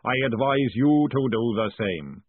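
A man voicing a slow, solemn male golem servant who speaks in flat, deliberate sentences. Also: mid-range voice, slightly rough, no echo. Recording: tinny phone-like audio.

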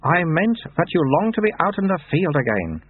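A man's voice: a little high, big pitch swings, normal speed, breathy edge, voice pushed up. A man voicing an ancient abbot in a baby's body, who slips into baby babble mid-sentence while giving orders. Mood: stern. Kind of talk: audiobook character reading. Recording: dry, tinny phone-like sound.

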